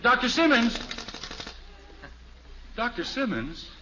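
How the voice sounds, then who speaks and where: An actor playing a wry suburban father in an old-time radio family sitcom, excitable and easily exasperated. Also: very high-pitched, takes it slowly, heavily acted. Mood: flustered. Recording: muffled old radio recording, faint hiss.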